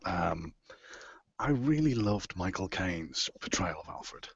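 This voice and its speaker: A man speaks slowly in a low, raspy voice. His pitch moves widely as he stresses his words with care. The casual chat comes through on muffled, lo-fi audio. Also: very animated, dry recording. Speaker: voice actor, cast member. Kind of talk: casual group call chat. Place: online video call. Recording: dull lo-fi call audio.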